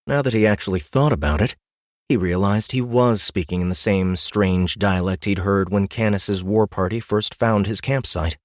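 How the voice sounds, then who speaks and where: A man's voice: lowish voice, normal speed, no echo, studio quality. A man narrating a fantasy audiobook in a scripted storytelling style.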